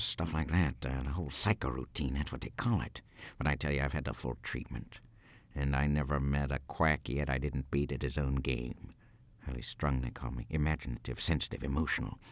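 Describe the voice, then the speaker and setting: A man's voice: extremely low pitch, dry recording. A man playing a twitchy, boastful, menacing killer in a dramatic monologue, stammering and slangy as he justifies himself. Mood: agitated, boastful.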